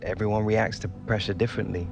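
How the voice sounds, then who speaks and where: A man playing an earnest, modest young British footballer in a game cutscene, speaking in a measured, reflective way over music. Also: on the low side, steady tone, creaky. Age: young adult. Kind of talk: video game cutscene acting, conversational interview answers. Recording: music behind the voice.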